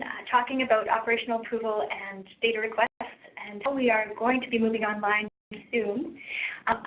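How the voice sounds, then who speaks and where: Soft, higher-pitched Canadian woman presenting. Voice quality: soft-voiced. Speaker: presenter, research practice lead. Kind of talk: webinar presentation. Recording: thin phone-like web conference line.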